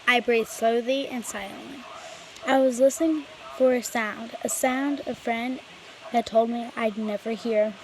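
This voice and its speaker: Female storybook reader, higher-pitched, unhurried and halting, on a slightly noisy amateur home recording. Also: steady tone, darkish tone. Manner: halting read-aloud with stumbles. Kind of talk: reading a children's picture book aloud.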